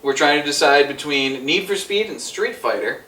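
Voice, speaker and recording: A man's voice: fairly high pitch, lively intonation, moderate pace, bright, forceful tone. A man debating casually and emphatically as a show co-host, opinionated and given to swearing. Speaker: co-host of a movie ranking show. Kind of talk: casual argumentative debate among friends. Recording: echoey room, distant from the mic.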